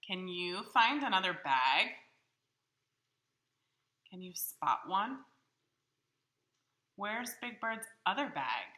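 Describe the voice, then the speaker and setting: Female children's storybook reader, very bright tone, unhurried, expressive, asking little listeners simple questions. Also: medium pitch. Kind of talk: interactive picture-book read-aloud with questions to young children.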